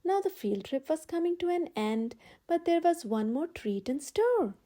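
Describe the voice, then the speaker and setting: A woman storyteller reading a children's picture book aloud in an expressive, emphatic way for young listeners. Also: high voice, normal speed. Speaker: storyteller, channel host.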